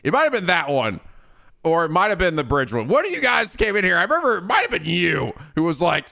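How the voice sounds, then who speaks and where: A man's voice: high-pitched, big pitch swings, fast talker, vocal fry, sharp tone. A man in his thirties chatting casually on a livestream, rambling and swearing freely with frequent laughs. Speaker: streamer.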